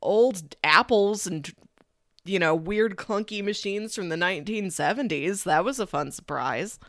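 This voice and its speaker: A woman's voice: mid-range voice, unhurried, fairly bright tone, no room sound, studio quality. A woman co-hosting a podcast, chatting casually about movies. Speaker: podcast co-host. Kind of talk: casual movie discussion chat.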